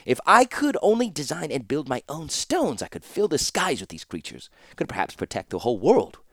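A man's voice: fairly high pitch, very animated, moderate pace, creaky, fairly bright tone, dry recording, clean studio sound, pitched above the performer's own voice, heavily acted. A man voicing an older male master artificer, amused and obsessed, speaking in a lofty, lecturing way.

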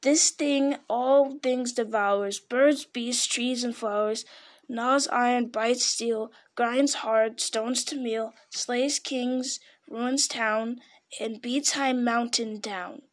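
A woman's voice: fairly high pitch, fairly even intonation, takes it slowly. A woman voicing a slimy, wicked creature in a storybook, hissing its words, repeating itself and wheedling spitefully. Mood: menacing riddling. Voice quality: hissing, sibilant. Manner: muttering repetitions, speaks of itself as "us".

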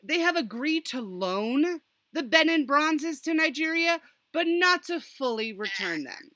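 A woman hosts a casual history chat in a bright, forward voice. She rambles animatedly through her stories and drops sardonic asides. The sound is dry, with very little room in it. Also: high-pitched, animated, a little slow, dry recording. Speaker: podcast host.

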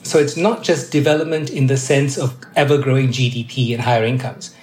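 Measured fifty-year-old man, echoey room. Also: medium pitch, a little mellow. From Malaysia. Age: 50s. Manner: measured, thoughtful, occasional restarts. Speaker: UN development adviser, podcast guest. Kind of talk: explanatory interview answers.

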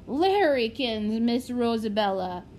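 A woman voicing a lively, excitable, alert young boy in a children's storybook. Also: on the high side, a little slow, a little hiss or hum. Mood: amazed.

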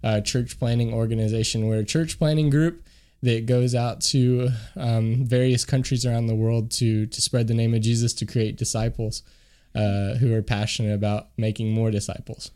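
A man's voice: mid-range voice, steady tone, moderate pace, dark tone, clear, resonant tone. A man from Kentucky, a missionary guest in a studio, telling his testimony in an earnest, sincere way. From Kentucky, USA. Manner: earnest, sincere, with frequent restarts. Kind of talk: personal testimony in an interview.

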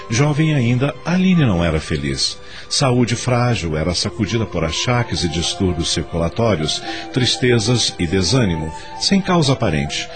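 A man giving solemn, literary narration for a radio drama in a dramatic storytelling style. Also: lowish voice. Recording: music behind the narration.

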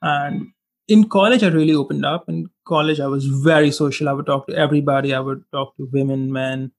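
A man in his twenties from India whose first language is Hindi, a guest in a relaxed interview, reflecting on his past and often saying "like" and "you know". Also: a little high, a little fast. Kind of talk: casual interview chat.

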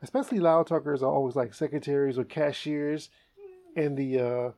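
A man hosting a comedy podcast, telling stories in a casual, animated way and laughing often. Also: mid-range voice, moderate pace. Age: adult. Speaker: podcast host.

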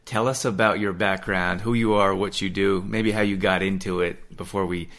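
A man's voice: low-pitched, fairly even intonation, normal speed, fairly bright tone. A man hosting a podcast, upbeat and friendly as he interviews a guest. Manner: upbeat and friendly, laughs easily. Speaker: podcast host.

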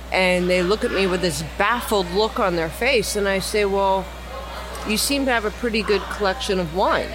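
Woman explaining things over clear background noise. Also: medium pitch. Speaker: podcast panel member. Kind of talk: casual panel discussion. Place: cigar lounge. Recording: clearly noisy lounge recording.

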